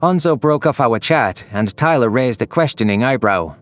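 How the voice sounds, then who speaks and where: Male narrator, medium pitch, scripted dramatic sci-fi storytelling with music underneath. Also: expressive, normal speed. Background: background music.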